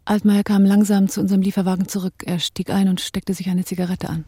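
An actress playing a reflective woman narrator in a radio drama, telling events in the first person in a subdued, measured way. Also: medium pitch, little pitch movement, mellow, husky, lightly acted. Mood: subdued, narrating. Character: reflective woman narrator, partner of an arms dealer.

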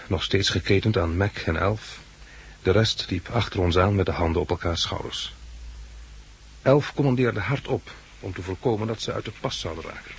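An actor with a Dutch accent from the Netherlands playing a level-headed captive who guides blind survivors and narrates a 1960s radio drama, earnest and reasoning. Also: male voice, fairly low pitch, slightly rough. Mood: sober narrating. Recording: noisy old 1960s radio recording.

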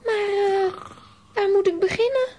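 A woman's voice: very high voice, unhurried, a little mellow, a little hiss or hum. A woman playing a plucky, curious, easily frightened little girl in a children's audio drama, with a dramatic, exclamatory delivery. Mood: frightened, determined.

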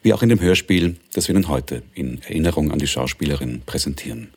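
Man, radio presenter, extremely low pitch, husky tone, scripted introduction. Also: lively intonation, fast, a little mellow, faint music behind.

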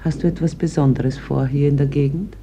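A stern widowed mother in an old German radio play. She is guarded and curt, used to giving orders, with bitterness underneath. The recording carries clear noise. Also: deep voice, steady tone, a little fast, mellow. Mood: guarded, terse. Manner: terse, guarded, commanding.

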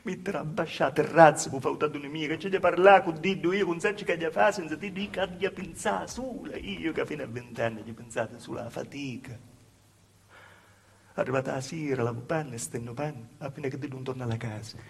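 A man with a Calabrian accent playing an anxious, wronged young village woman in a halting, repetitive theatre monologue. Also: a little high, steady tone, vocal fry, a little hiss or hum. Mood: hushed, troubled. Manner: halting, repetitive, dropping to hushed asides. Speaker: stage actor performing a monologue. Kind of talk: dialect theatre monologue, storytelling.

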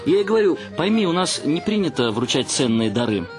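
A man's voice: on the high side, very animated, fairly quick, music in the background. An actor playing a sarcastic young son who grumbles and mocks his dreamy parents in a radio play. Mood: teasing. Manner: sardonic, exclamatory.

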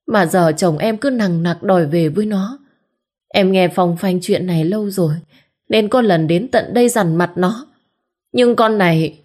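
A woman's voice: mid-range voice, voice pushed up, close to an everyday voice. A woman voicing a pregnant young wife who wails theatrically and complains shrilly.

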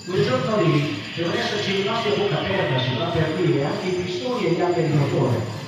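A man's voice: high voice, lively intonation, a little fast, background noise. A man performing a dramatic first-person spoken-word story in a colloquial, emphatic way, with conversational asides. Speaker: author reading his story at a festival. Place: live festival venue at an occupied factory. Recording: very echoey, distant live festival recording with music. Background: loud electronic music.

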